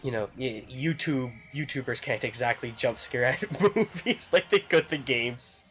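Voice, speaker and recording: A man's voice: medium pitch, unhurried, fairly bright tone. A man talking casually and off the cuff as a gaming vlogger, in a rambling, hesitant way with repeated phrases. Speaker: video game YouTuber. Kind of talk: casual unscripted opinion vlog. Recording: dull lo-fi mic, music behind. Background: background music.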